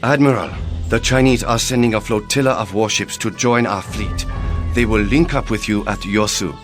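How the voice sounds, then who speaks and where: A man's voice: on the low side, moderate pace, soft background music, close to an everyday voice. A man playing a grave, dignified government minister in a video game cutscene, speaking formally and earnestly. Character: grave, dignified Korean prime minister, statesman.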